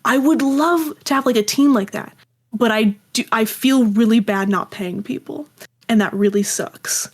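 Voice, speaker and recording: A woman chatting casually as an interview guest, talking in a rambling, animated way. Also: fairly high pitch, moderate pace, breathy edge. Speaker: guest, audio drama creator.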